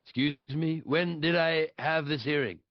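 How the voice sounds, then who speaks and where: A man's voice: on the high side, measured pace, no room sound, highs cut off, lightly acted. A man playing an arrogant, petulant older politician in a comic stage script, blunt, indignant and dismissive, at a table read. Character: arrogant, petulant older male politician in afterlife waiting room. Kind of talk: live table read acting.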